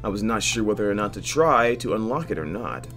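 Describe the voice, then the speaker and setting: A man hosting a game playthrough, reading visual novel narration aloud in an expressive way, stumbling now and then and dropping in joking asides. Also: fairly low pitch, fairly quick, faint music behind. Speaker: host of a video game playthrough.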